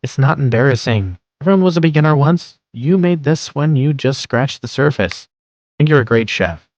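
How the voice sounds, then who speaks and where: A man voicing a studious, modest teenage boy in a manga dub, calm, earnest and self-deprecating. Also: mid-range voice, normal speed, no echo, dull audio, lightly acted. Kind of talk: fan manga dub with narration.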